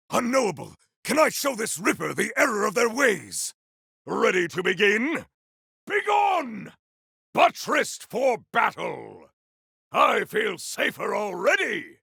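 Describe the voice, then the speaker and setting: An actor voicing a bold male warrior in a video game who yells boastful battle cries in a gravelly, husky voice. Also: high voice, no echo, clean studio sound, full character voice. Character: bold shouting male warrior fighting monsters. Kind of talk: video game combat voice lines.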